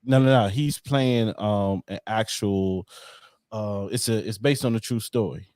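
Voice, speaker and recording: A man with a low voice chats on a podcast, easygoing, with short nods of agreement between longer thoughts. He is recorded close and dry, with no room around him. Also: unhurried, a little mellow, dry recording. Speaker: podcast co-host. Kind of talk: casual chat.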